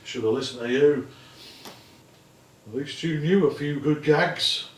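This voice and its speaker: A man's voice: a little high, unhurried, close to an everyday voice. An actor playing a blunt, earnest man full of regret in a stage play. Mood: grumbling. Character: frank, regretful man from comedienne's past. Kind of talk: theatrical stage dialogue. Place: theatre stage. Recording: echoey stage, distant microphone.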